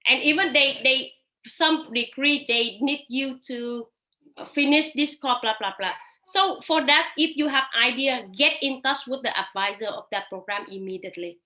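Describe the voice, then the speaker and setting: Bright, high-voiced woman giving webinar advice. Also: normal speed. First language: not English. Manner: hesitant non-native phrasing with frequent fillers and restarts. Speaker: webinar speaker sharing study-abroad experience. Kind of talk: live webinar talk. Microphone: video call.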